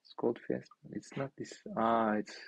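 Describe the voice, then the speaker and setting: A young man from Turkey with a Turkish accent, thinking aloud in halting, filler-heavy speech while he reviews an app on screen. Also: medium pitch, steady tone, vocal fry, no echo. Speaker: indie maker reviewing an app. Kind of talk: casual screen-share commentary.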